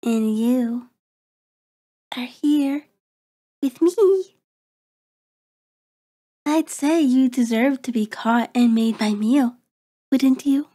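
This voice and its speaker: A woman's voice: high voice, extremely slow, pauses often, dark tone, heavily acted. A woman playing a cruel, predatory vampire in an ASMR horror roleplay, taunting and haughty, savoring words in formal diction. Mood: mocking, haughty. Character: cruel, predatory female vampire toying with her captive.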